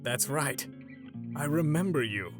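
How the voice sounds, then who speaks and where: Man voicing an earnest, animated older hero mentor. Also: medium pitch, music in the background, lightly acted. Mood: gentle, resolute. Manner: theatrical, measured, earnest. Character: older male superhero mentor, earnest, grandly paternal, gently stern. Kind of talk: dramatic comic dub voice acting.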